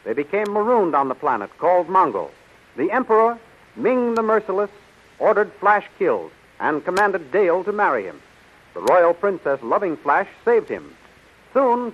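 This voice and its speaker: A man with an American accent narrating a 1930s radio serial in a dramatic, declamatory way. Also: high voice. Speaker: announcer and narrator. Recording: muffled, hissy 1930s radio recording.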